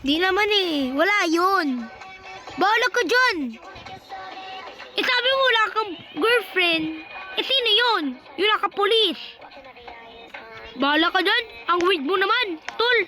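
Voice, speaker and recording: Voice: very high-pitched, expressive, a little slow, long pauses, bright, forceful tone. An exclamatory, slangy voice acting out an improvised horror skit as a series of phone calls, with music behind.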